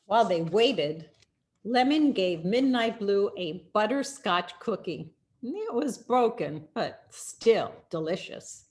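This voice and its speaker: A woman reading a children's picture book aloud in an expressive, deliberate storytelling manner with dramatic pauses. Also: medium pitch. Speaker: storyteller, rabbi.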